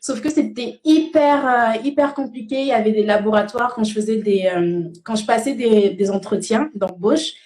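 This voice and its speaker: A woman's voice: on the high side, normal speed, hardly any pauses, darkish tone, echoey room. A young woman from Senegal, an interview guest telling her own story in a casual, conversational way. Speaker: interview guest, cosmetics brand founder. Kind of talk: casual first-person storytelling.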